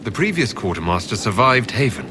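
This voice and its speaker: A man's voice: fairly low pitch, sing-song, fairly quick, pushed and bright, slight background noise. An actor playing a commanding, pragmatic male hero in a fantasy video game, speaking directly and with authority. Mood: brisk, inquiring.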